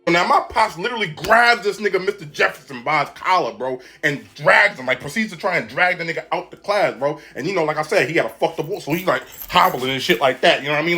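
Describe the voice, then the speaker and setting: A man telling a story in casual, slangy, animated style, often checking in with the listener. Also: fairly high pitch.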